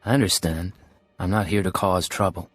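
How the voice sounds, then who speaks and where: An actor playing a cool, wry secret agent in a video game, terse and controlled. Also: male voice, sits low, steady tone, moderate pace, breathy edge, dry recording, faint music behind. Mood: cool, wary.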